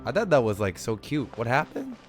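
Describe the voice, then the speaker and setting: Lively, slangy male streamer. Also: medium pitch, fairly quick, soft background music. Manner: slangy, rambling. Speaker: livestreamer. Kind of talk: casual gameplay commentary to chat.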